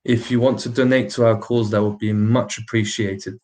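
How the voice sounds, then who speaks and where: A man's voice: on the low side, level tone, normal speed, a little mellow. A man with a slightly British accent hosting a casual livestream, putting emphatic rhetorical questions to his viewers and laughing often between remarks. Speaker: livestream host.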